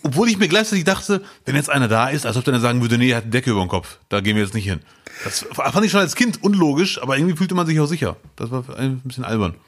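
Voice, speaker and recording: This is a man co-hosting a casual podcast, telling anecdotes and breaking into laughter now and then. His voice sits at an ordinary middle pitch. His delivery is loose and conversational. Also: big pitch swings, fast. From Bielefeld, Germany. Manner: storytelling, laughs often. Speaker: podcast co-host.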